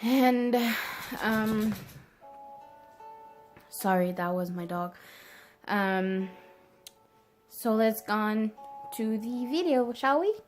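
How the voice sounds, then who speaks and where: Slow, hesitant young woman. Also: fairly high pitch, soft background music. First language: French. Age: early 20s. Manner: hesitant, halting. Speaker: vlogger. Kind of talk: casual personal vlog to camera.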